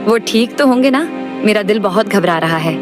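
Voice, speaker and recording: A woman's voice: medium pitch, lively intonation, normal speed, over music, close to an everyday voice. A woman playing an anxious, pleading village wife who frets over her husband in a moral story cartoon. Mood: worried. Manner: pleading, worried.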